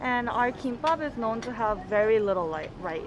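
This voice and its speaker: A cafe staff woman explains dishes in a slightly high, hesitant voice, with fillers and small giggles between phrases. Traffic is faintly audible behind her. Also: animated, moderate pace, highs cut off. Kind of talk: casual explanation.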